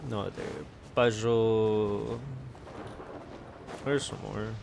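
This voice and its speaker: A man's voice: on the low side, steady tone, drawn-out words, vocal fry, noisy background. A man giving casual gameplay commentary, rambling and thinking aloud as he plays. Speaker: game streamer. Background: video game music.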